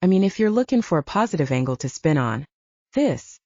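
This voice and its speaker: Woman acting cocky, mellow-toned male soldier. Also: low voice, big pitch swings, brisk, no room sound, highs cut off, heavily acted. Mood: defensive. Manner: bantering, coaxing, casual. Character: cocky, teasing male soldier, playful and pragmatic. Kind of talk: dramatized audiobook dialogue.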